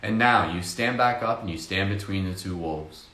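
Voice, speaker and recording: A man leading a slow, soft-spoken guided meditation with casual fillers. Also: deep voice, reverberant.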